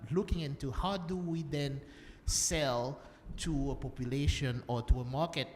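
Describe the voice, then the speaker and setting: A man's voice: on the high side, fairly even intonation, a little slow. A man from Haiti speaking on a conference panel, talking with many fillers and restarts. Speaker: panelist, social entrepreneur. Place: conference hall. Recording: long hall reverb, faint room noise.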